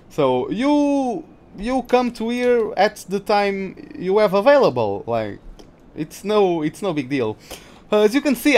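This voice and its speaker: A man's voice: high voice, a little mellow. A young man with a Portuguese accent, English not his first language, rambling through live racing-game commentary and greeting viewers. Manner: rambling, hesitant, frequent restarts and fillers. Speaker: gaming streamer.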